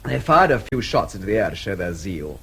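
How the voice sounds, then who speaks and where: Husky, wry Englishman. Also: deep voice, very animated, brisk, pushed and bright, exaggerated voice. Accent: British. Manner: wry, understated. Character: dry, understated Englishman reporting trouble. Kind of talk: radio drama acting.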